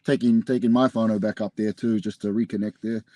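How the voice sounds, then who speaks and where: A man's voice: fairly low pitch, steady tone, a little fast, warm tone, no room sound, clean studio sound. A young man with a New Zealand accent, a pro wrestler chatting casually as a podcast guest and calling everyone "bro". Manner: laid-back, says "bro" often. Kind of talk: casual interview chat.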